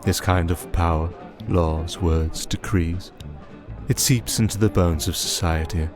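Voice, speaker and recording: Male actor as vampire archduke, very deep voice, formal, scheming, silky, ASMR roleplay, clear music bed. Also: steady tone. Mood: measured, scheming. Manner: measured, formal, silky and condescending. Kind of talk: ASMR roleplay audio drama.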